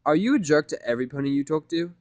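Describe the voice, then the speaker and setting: A man's voice: a little high, lively intonation, fast, a little mellow, dry recording, pitched above the performer's own voice, natural voice. Guy doing a huffy girl pony for an audio story, snappy, indignant, voice pushed up. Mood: demanding. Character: indignant, combative female pony, protective and snappy.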